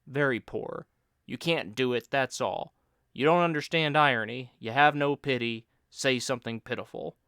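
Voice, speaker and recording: A man voicing a lazy, joking friend who teases and mock-lectures in a loud, raised voice. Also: medium pitch, normal speed, clear, resonant tone, dry recording, clean studio sound. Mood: mock lecturing.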